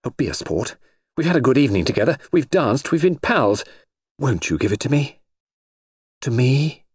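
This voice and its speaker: A man's voice: on the high side, huge pitch swings, fairly quick, breathy edge, dry recording, clean studio sound, pitched above the performer's own voice, full character voice. A man voicing a thrill-seeking, languid young society lady in an audiobook, with a gushing upper-class drawl. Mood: pleading. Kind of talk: audiobook character voice.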